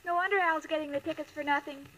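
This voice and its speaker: A woman's voice: high-pitched, steady tone, fast, bright, forceful tone. An actress playing a naive, lovestruck, dim-witted young woman in a 1940s radio comedy, earnest and literal, on an old recording. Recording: very noisy vintage radio recording.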